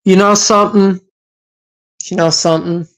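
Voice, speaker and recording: A woman's voice: mid-range voice, fairly even intonation, moderate pace, low-quality recording. A woman from Massachusetts hosting a livestream, reacting to clips with sarcastic, scolding commentary and frequent hesitations.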